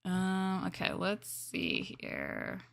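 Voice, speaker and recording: A woman's voice: lowish voice, wildly swooping pitch, very slow, gravelly, a bit bright. A woman chatting casually as a VTuber on a livestream, often hesitant and thinking aloud. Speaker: VTuber streamer.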